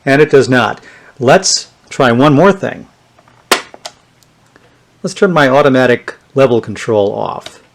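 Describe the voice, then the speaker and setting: Guy with a mid-range voice doing a casual gadget demo, unscripted, thinking out loud while he tests stuff. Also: mid-range voice, animated, slow pace, vocal fry, long pauses, a little hiss or hum. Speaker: vintage electronics reviewer. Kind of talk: casual hands-on product demonstration.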